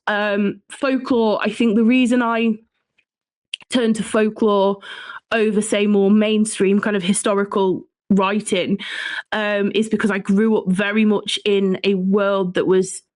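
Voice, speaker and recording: Female English folklore guest, mid-pitched, chatty and keen storyteller, very dry sound. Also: little pitch movement, a little slow, no echo. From Shropshire, England. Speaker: guest, folklore writer and researcher. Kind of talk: conversational interview, storytelling.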